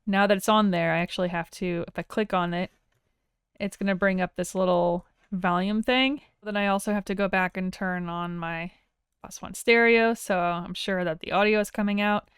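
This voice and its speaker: A woman instructor narrating a software tutorial in a casual, informal way with wry asides, recorded as a voice-over. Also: mid-range voice, fairly even intonation, normal speed, clean tone, no echo, studio quality. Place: close, dry voice-over for a screen recording.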